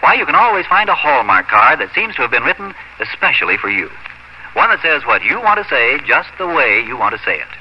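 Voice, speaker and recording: A man's voice: mid-range voice, big pitch swings, a little fast, slight background noise. A man announcing a 1950s radio show and reading its sponsor messages in a scripted style. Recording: muffled old radio recording, music behind.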